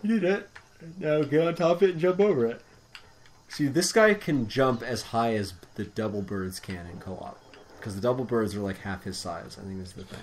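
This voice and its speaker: A man's voice: medium pitch, expressive, normal speed. A tipsy man coaching his friend through a game, laughing, crude and bossy. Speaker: gameplay commentator. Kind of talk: casual gameplay chat.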